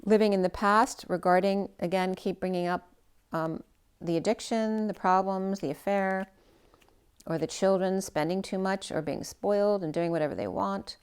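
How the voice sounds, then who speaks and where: A woman's voice: mid-range voice, fairly even intonation, normal speed, a little mellow, smooth. A woman giving a casual tarot reading who is losing her voice. She thinks aloud, trails off and restarts her sentences. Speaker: tarot reader.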